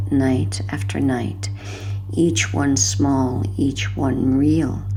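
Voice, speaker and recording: Slow, low-pitched woman guiding sleep hypnosis. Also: gravelly, slight background noise. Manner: soothing, hushed, unhurried with long pauses. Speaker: hypnosis audio guide. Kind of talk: guided sleep hypnosis narration.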